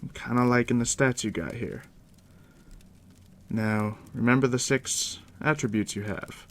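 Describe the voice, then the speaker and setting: A man doing a soft, deep-whispered ASMR roleplay as a game master, unhurried and reassuring, speaking directly to the listener up close. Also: steady tone. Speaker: game master guiding a new player.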